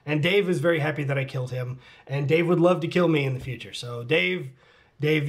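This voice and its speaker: A middle-aged man at home, casually reading and answering fan comments, laughing often and dropping into muttered asides. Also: mid-range voice. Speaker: channel host answering fan questions. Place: at home with his cat.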